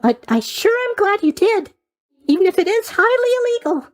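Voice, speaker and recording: A man's voice: extremely high pitch, big pitch swings, moderate pace. A man voicing a chatty, eager-to-please young god of sleep in a video game, rambling along with bubbly asides. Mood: overwhelmed, touched. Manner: rambling, bubbly, hesitant asides, tag questions. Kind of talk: video game character voice acting.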